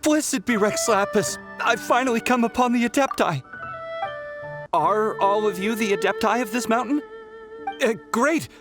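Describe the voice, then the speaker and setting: A man's voice: high-pitched, normal speed, music in the background, lightly acted. A man voicing a brash, greedy young pilgrim in a video game, melodramatic, whiny and easily offended. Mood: excited, pleading.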